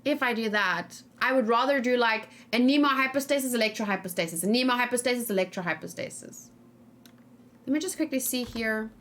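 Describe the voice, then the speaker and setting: High-voiced woman chatting through a game stream. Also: fairly quick, fairly bright tone. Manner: thinking aloud, informal, talking to chat. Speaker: video game streamer, let's play host. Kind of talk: casual live game commentary, chatting with viewers.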